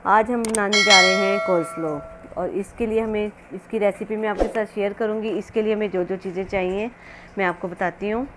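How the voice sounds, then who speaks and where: Female home-cook host, casual step-by-step narration, mid pitch, faint noise on an amateur recording. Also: fairly even intonation, fairly quick. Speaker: home cooking channel host. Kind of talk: casual recipe demonstration narration.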